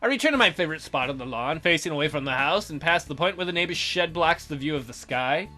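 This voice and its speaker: A woman's voice: sits low, animated, fast, pushed and bright, faint music behind. A woman reading a visual novel aloud as a let's play host, breaking in with playful, jokey commentary.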